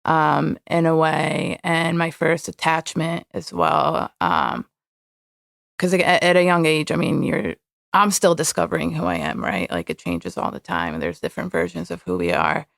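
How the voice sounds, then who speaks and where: Soft-spoken woman with a gravelly edge. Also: low voice, moderate pace, no room sound, clean studio sound. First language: Hebrew. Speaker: podcast guest. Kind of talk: candid personal interview.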